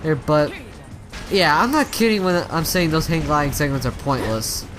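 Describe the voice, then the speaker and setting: A man's voice: fairly high pitch, sing-song, normal speed, a bit bright, faint music behind. A man giving casual let's play commentary, rambling and often stumbling and rephrasing as he goes. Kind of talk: casual video game commentary.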